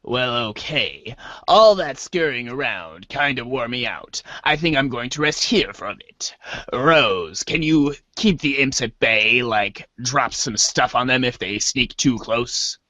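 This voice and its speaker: A man's voice: mid-range voice, animated, unhurried, sharp tone, dry recording. A man playing a casual, slightly whiny teenage boy in an amateur dramatic reading, speaking hesitantly. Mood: whiny, weary. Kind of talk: amateur dramatic reading of webcomic chat dialogue.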